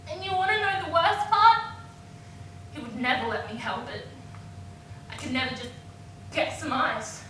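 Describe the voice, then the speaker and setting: A woman playing an anguished young woman in a theatrical monologue about abuse, with halting delivery and dramatic pauses. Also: distant mic. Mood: bitter distress. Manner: halting, dramatic pauses, swinging from hushed to screamed. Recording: echoey room from afar, noisy with rumble.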